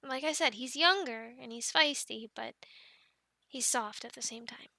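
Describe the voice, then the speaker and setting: A friendly young dragon keeper in a fantasy audio roleplay, acted by a woman. Her high voice has frequent creak and a slow, encouraging delivery. She talks playfully and is recorded close and dry. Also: pushed and bright, no echo. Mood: gentle, instructing. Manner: playful, chatty, cooing to animals like pets. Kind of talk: ASMR roleplay, addressing the listener.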